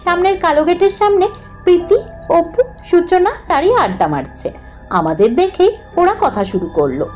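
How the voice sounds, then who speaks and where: Female storyteller for ghost tale, very high lively voice, music underneath. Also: moderate pace. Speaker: story narrator. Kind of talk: first-person scripted ghost story narration. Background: background music.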